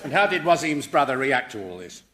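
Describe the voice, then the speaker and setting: An actor playing a mild professor who reasons thoughtfully with dry irony, in radio sitcom style. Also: male voice, mid-range voice, big pitch swings, brisk, very noisy, close to an everyday voice. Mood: earnest. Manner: thoughtful, lecturing, dryly ironic.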